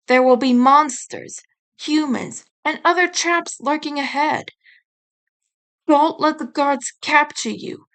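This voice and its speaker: A woman's voice: sits high, a bit bright. A woman voicing a worried, protective snake-eyed yokai mother in a storybook, earnest and cautioning.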